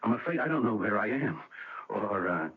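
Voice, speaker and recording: A confused, frightened husband in an old radio play. His low, husky voice falters and stammers politely over a thin, tinny recording. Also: big pitch swings, normal speed, full character voice. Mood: bewildered, alarmed. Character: bewildered, frightened, polite husband who lost his memory. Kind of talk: old radio drama acting.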